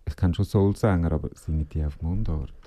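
A man's voice: low voice, fairly quick, warm tone. A man hosting a radio interview, asking long chatty questions. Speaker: radio host.

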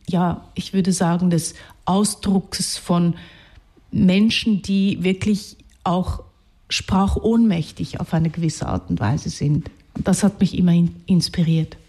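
Mellow-voiced Swiss woman, thoughtful. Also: lowish voice. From Switzerland, Zurich region. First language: Hungarian. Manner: thoughtful, searching for words, self-correcting. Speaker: writer, interview guest. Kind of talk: reflective spoken interview.

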